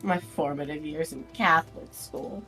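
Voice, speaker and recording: A woman's voice: deep voice, normal speed, pushed and bright, low-quality recording. A woman chatting casually as a player in a tabletop game. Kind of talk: casual chat. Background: faint music.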